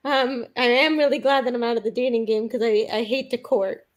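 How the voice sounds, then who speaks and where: A woman's voice: a little high, fairly even intonation, normal speed. A woman competing in a pun contest, bantering playfully with hesitant fillers and giggles over a video call. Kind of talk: quick-fire pun banter.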